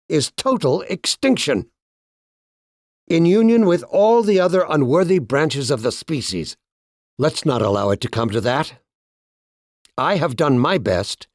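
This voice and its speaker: A polished, authoritarian administrator from a video game, played by a male actor, addressing his subjects like a statesman. He is pompous and formal, with measured oratory in a mid-range male pitch and a dry, close sound. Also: no echo, lightly acted. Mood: stern warning. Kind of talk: scripted dramatic speech in a video game.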